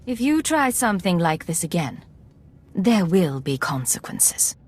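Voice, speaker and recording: Composed, lowish-voiced woman secret agent. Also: very animated. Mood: stern. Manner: measured, formal, controlled. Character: composed, authoritative woman intelligence agent, guarded and principled. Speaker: player character in a video game. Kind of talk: video game voice acting, measured dialogue. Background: music.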